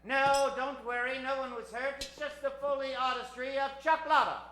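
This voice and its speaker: A man's voice: very high voice, fairly even intonation, normal speed, very noisy. A man playing a bombastic, theatrical old-time radio announcer on a live superhero comedy serial. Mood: dramatic. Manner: theatrical, emphatic, grandiose. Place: theater stage before a studio audience. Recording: roomy live stage with music.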